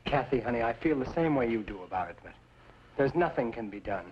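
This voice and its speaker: A man's voice: mid-range voice, animated, a little fast, close to an everyday voice. An actor playing a genteel, bookish father in a radio western, middle-aged, speaking in a florid, fond and weary way. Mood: resigned. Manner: florid, quotes scripture and poets. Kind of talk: old-time radio western acting. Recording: muffled, noisy old radio recording.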